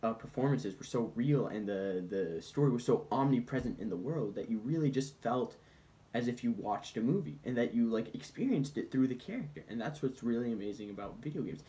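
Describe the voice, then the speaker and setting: A man's voice: medium pitch, mellow. A man giving casual, comedic film commentary in an informal, conversational way with mock-defensive asides. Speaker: film commentator presenting points. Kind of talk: casual comedic video essay, bantering with an unheard sidekick.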